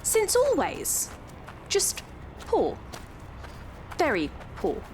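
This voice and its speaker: An actress playing a snobbish, bossy young Victorian daughter in an audio drama, with an affected, haughty manner. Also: female voice, very high voice, wildly swooping pitch, very slow, a little hiss or hum, full character voice, rough recording.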